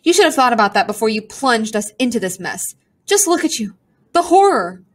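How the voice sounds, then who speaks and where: A woman's voice: a little high, very animated, fairly quick. A woman voicing a melodramatic, self-pitying talking pigeon with a pompous, theatrical manner. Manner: theatrical, sighing, haughty complaints.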